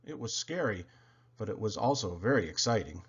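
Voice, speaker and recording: A man reading a children's story aloud in an expressive, dramatic, deliberate way. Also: fairly low pitch, breathy edge, muffled recording. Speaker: storybook reader.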